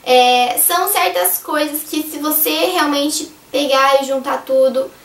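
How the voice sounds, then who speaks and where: Hoarse young Brazilian woman vlogging. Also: high voice, fairly even intonation, unhurried. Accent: Brazilian Portuguese. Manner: chatty, rambling, addresses viewers directly. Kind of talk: casual advice vlog. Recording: echoey room, distant mic.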